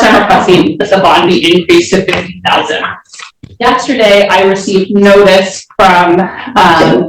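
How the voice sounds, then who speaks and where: A woman prosecutor making a formal, methodical argument to a judge in a courtroom. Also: fairly low pitch. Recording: very echoey courtroom, distant mic.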